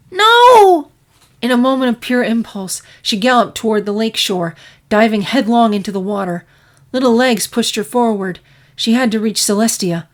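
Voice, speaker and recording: Female story narrator: mid-pitched, expressive scripted fiction read.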